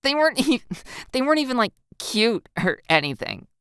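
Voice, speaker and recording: A woman's voice: fairly high pitch, sing-song, normal speed, a bit bright, smooth, no room sound, studio quality, pitched above the performer's own voice. A woman voicing an amused, teasing teenage schoolgirl in a dramatized audio story, gasping and breaking into giggles as she talks. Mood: hysterical amusement.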